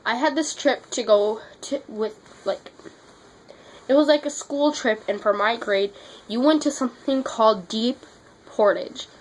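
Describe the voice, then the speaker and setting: A woman's voice: fairly high pitch, unhurried, a little hiss or hum, rough recording. A teenage girl vlogging, sick and losing her voice with a runny nose, telling a story in a blunt, exasperated way and swearing freely. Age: teens. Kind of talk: casual storytelling vlog.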